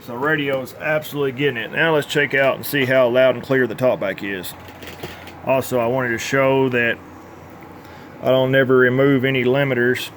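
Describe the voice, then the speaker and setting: A man's voice: mid-range voice, steady tone, moderate pace, a bit bright. Man demonstrating repair work, faint background noise. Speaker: CB radio repair technician presenting his tune-up work. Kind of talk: informal workbench demonstration.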